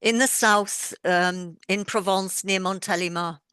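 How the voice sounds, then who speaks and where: An older British woman, an author, answering interview questions in an articulate, measured way, with a mixed accent carrying traces of Welsh and Yorkshire. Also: medium pitch, fairly even intonation, smooth, no echo. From England, raised moving with an army family. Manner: articulate, measured, warm storytelling. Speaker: author, guest. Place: at home in Provence, France.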